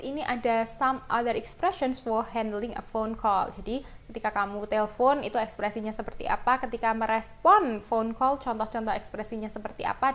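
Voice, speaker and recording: Higher-pitched Indonesian woman teaching English. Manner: informal, conversational, addresses students directly. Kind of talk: lesson explanation, reading example phrases and translating them.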